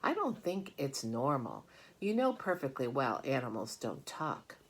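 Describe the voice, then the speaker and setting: A woman voicing a concerned mother in a children's storybook, worried, polite and gently questioning. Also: a bass voice, big pitch swings, a little slow, slightly rough, heavily acted.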